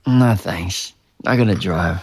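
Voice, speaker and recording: A man's voice: sits low, takes it slowly, a little mellow, exaggerated voice. A man playing a weary, frustrated Australian adult son, speaking in a casual, colloquial way. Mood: tired, reluctant.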